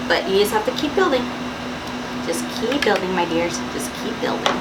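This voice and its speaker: A young woman with a raspy morning voice, chatting and instructing through a makeup tutorial at home. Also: mid-range voice, expressive, moderate pace. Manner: chatty, instructive, with fillers and asides. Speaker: makeup vlogger. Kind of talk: makeup tutorial talk to camera. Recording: loud background hiss and air-conditioner hum.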